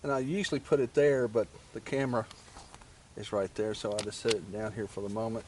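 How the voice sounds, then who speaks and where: A man's voice: lowish voice, steady tone, brisk, a little mellow. Man in casual how-to, background noise. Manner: plain-spoken, step-by-step narration while working with his hands. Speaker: motorcycle vlogger demonstrating gear installation. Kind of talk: casual hands-on tutorial. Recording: clear ambient and vehicle noise.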